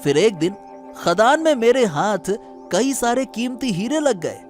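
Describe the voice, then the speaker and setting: Cartoon merchant, played by a man: young, amiable, seemingly simple, high voice with swooping intonation, polite. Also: sing-song, unhurried, faint music behind, full character voice. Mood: humble, explaining. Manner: polite, chuckling, explanatory. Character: friendly, naive-seeming young diamond merchant, former farmer. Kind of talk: Hindi cartoon moral-story acting.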